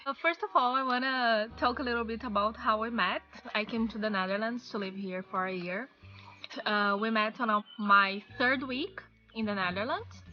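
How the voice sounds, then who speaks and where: A woman's voice: fairly high pitch, highs cut off. A young woman from Brazil with a Brazilian Portuguese accent, chatting casually to camera in English with many fillers, outdoors on a patio. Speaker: vlogger. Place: outdoor patio by a wooden fence.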